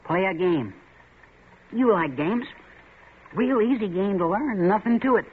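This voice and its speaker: A man's voice: high voice, lively intonation, a little slow, husky, exaggerated voice. An actor playing a taunting, swaggering young man in a 1940s radio drama, needling his friend as a coward. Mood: coaxing. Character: taunting young male tough, Red. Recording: tinny lo-fi old radio broadcast with faint hiss.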